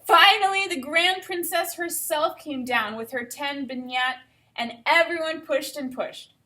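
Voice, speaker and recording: A woman teacher giving an expressive read-aloud for children, with enthusiastic explanatory asides. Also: high-pitched, measured pace. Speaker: teacher reading a picture book aloud.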